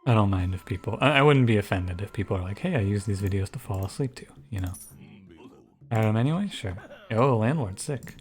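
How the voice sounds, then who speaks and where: A man with a soft, soothing voice giving relaxed, thinking-aloud commentary over a strategy game. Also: fairly quick, soft background music. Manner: low-key, musing, thinks aloud with frequent restarts. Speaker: gaming YouTuber and streamer. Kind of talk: casual gameplay commentary.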